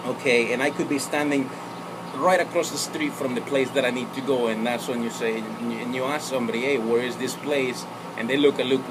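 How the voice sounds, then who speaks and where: Rambling man over loud noise. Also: medium pitch. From El Salvador. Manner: rambling, many fillers and self-corrections. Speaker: vlogger. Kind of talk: casual vlog explainer.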